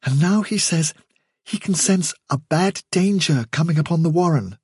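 A man's voice: fairly high pitch, normal speed, darkish tone, breathy edge, no echo, voice pushed up. A young storybook rabbit voiced by a man, protective and earnest, in a raised voice. He speaks respectfully and a little hesitantly, as to an elder. The sound is dry. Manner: polite, hesitant, deferential.

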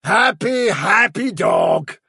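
A man voicing a wily trickster who poses as a grand fairy dog messenger in a storybook, full of drawn-out, theatrical flattery. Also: high voice, breathy edge, no echo, clean studio sound, exaggerated voice. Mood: regal, flattering. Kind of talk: dramatic storybook reading.

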